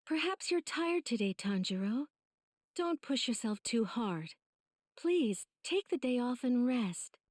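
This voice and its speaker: A gentle mother from an anime game. The voice is high and melodic, with caring, solicitous delivery. The sound is close and dry. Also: big pitch swings, takes it slowly, dry recording, exaggerated voice. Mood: gentle concern.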